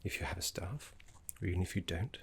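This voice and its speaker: A man voicing a gentle, scholarly necromancy teacher in an ASMR roleplay, soft, breathy and half-whispered, with soothing, genteel phrasing. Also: low voice, animated, quick pace. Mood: soothing, instructive. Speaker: teacher guiding a student.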